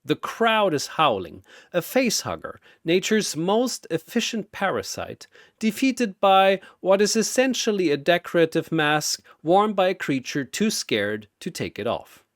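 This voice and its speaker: A man narrates a scripted tale in a theatrical, suspenseful way. His delivery is slow and deliberate, with a widely moving pitch. The recording is dry, without room echo. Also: sing-song. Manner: theatrical, short suspenseful phrases. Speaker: storyteller.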